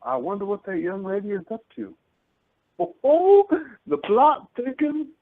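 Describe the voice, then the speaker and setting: A man plays a nosy, chatty storyteller in a radio play, on tinny audio. His voice is very high for a man and swoops theatrically, full of exclamations. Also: wildly swooping pitch, unhurried, exaggerated voice. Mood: intrigued. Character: chatty, gossipy male park-bench narrator. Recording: tinny narrow-band audio, faint music.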